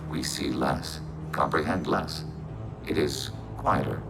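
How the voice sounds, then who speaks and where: A man's voice: rumbling low, takes it slowly, gravelly, music in the background, full character voice. A man voicing a logical, emotionless robot soldier of a collective mind in a video game, speaking in formal, clipped statements through synthetic processing. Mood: impassive, analytical. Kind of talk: video game character acting.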